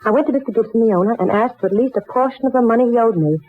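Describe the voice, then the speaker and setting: An actress playing an uneasy, earnest young working woman in an American 1940s radio drama, speaking hesitantly and deliberately with an American accent. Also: on the high side, natural voice. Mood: grave, searching. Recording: muffled, tinny 1940s radio recording with music.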